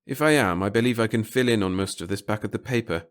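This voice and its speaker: Man playing a bored, brisk tall reporter. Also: fairly low pitch, natural voice. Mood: polite, impatient. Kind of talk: audiobook character voice in a sci-fi short story.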